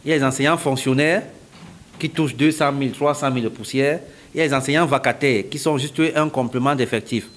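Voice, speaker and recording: A man's voice: mid-range voice, fast talker, a bit bright. Man from Cameroon, middle-aged, interview answers, patient explaining, repeated phrases. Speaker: interviewee, company founder.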